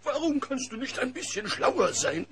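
A man's voice: extremely high pitch, very animated, normal speed, crowd noise. A man playing a chubby, gullible, good-natured cartoon bear with a hesitant, naive way of talking. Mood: worried. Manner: hesitant, naive, self-pitying. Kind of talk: cartoon audio drama acting.

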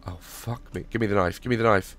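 A hoarse young man on a headset mic, nervously joking and swearing through a horror game as a gaming YouTuber. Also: on the low side, steady tone, fast talker, fairly bright tone, a little hiss or hum. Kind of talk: horror game let's play commentary.

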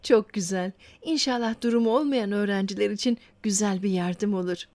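A woman playing a gentle, devout, encouraging mother in a children's cartoon, speaking patiently. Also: mid-range voice, fairly even intonation, a little fast, close to an everyday voice. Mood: interested. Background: background music.

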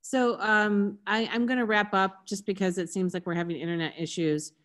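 A woman's voice: medium pitch, fairly even intonation, moderate pace, fairly bright tone. A woman hosting an online conference session over a video call, talking casually and restarting her sentences. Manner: chatty, apologetic, restarts under tech trouble. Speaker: conference host.

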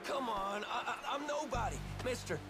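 An actor playing a frightened young outlaw captive in a video game, pleading and stammering. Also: male voice, very high-pitched, animated, normal speed. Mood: terrified pleading. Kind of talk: video game character acting. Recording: loud game music behind the voice.

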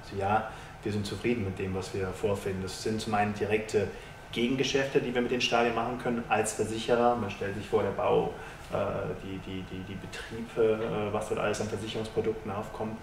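A man in his thirties, a sponsorship executive, giving measured businesslike interview answers with frequent hesitations, in a stadium lounge. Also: on the low side, little pitch movement. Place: stadium lounge with glass walls, indoors. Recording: roomy, distant mic, faint hiss.

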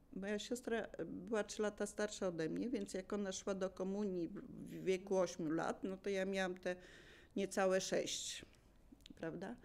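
An older woman casually reminiscing as a local resident, in a chuckling, animated storytelling manner. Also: medium pitch. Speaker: local resident sharing memories.

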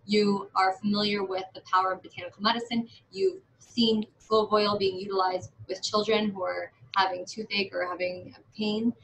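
A woman's voice: mid-range voice, fairly even intonation, normal speed, few pauses, fairly bright tone, slight background noise, poor audio. A woman in her thirties interviewing a guest from her clinic office, retelling the guest's story at length and asking follow-up questions. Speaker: interviewer, naturopathic doctor.

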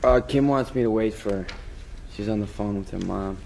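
Casting note: laid-back slacker teen, low and gravelly. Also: male voice, normal speed, darkish tone, a little hiss or hum. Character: cool slacker teen boy. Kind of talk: script table read.

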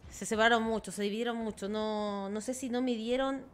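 A young Chilean woman with a fairly high voice calls an esports match live at home, her accent mostly Chilean with some Argentine turns. She moves quickly from steady play-by-play into excited yelps. Game noise sits clearly behind her desk mic. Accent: Chilean Spanish with some Argentine touches. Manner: excitable, quick bursts of exclamations. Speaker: esports caster. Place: home room, indoors.

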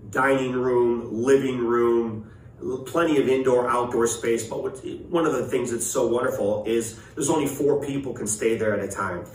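A man's voice: medium pitch, slight background noise. Middle-aged man, roomy casual vlog. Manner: enthusiastic, informal. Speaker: hiker and video reviewer. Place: indoors in living rooms of a house. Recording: roomy, distant mic, music behind.

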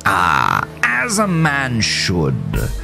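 Male narrator as talking horse, genteel, eerie, eager, raised pitch, horror storybook. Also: huge pitch swings, drawn-out words, creaky, music in the background, full character voice. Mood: courtly, pleased. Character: courteous, eerie talking horse gentleman serving tea.

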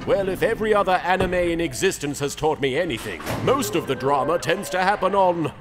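A vain ancient pharaoh acted by a man speaks in a fairly high male voice that swoops grandly. He is a melodramatic, pompous anime parody duelist, with music behind him. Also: expressive, brisk, lightly acted. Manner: theatrical, grandiose, swooping delivery. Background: background music.

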